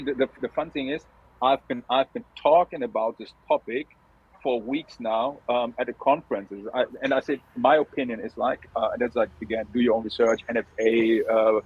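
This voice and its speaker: Husky blunt man on lo-fi audio. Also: medium pitch, brisk, dry recording. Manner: blunt, swears, many restarts. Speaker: co-host. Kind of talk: casual live chat.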